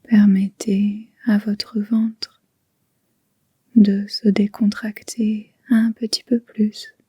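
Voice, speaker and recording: A woman leads a guided relaxation in a very breathy, whispery voice. Her delivery is slow and gentle, with long pauses between phrases, and stays on an even, level tone. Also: medium pitch, dry recording. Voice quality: soft, breathy, near-whispered. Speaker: yoga nidra guide.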